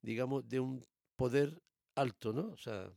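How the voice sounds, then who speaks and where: Male, Canary Islands accent. Gravelly, mid-pitched, dry sound. Casual, opinionated explainer who leans on repetition. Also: a little slow, warm tone, no room sound. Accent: Canary Islands Spanish. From born in Tenerife, raised in Gran Canaria, Canary Islands.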